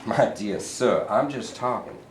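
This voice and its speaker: A man's voice: fairly low pitch, unhurried, close to an everyday voice. A man reading a sly, genial governor. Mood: evasive. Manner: evasive, patronizing, mock-genial. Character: sly smooth-talking male state governor. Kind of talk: staged play reading. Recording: echoey room, mic at a distance, faint hiss.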